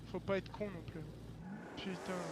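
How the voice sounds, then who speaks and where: A man's voice: high-pitched, little pitch movement, measured pace. A man in a heated casual argument on game voice chat, questioning insistently and confrontationally. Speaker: game server defender in roleplay chat. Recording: noisy game voice chat, car noise.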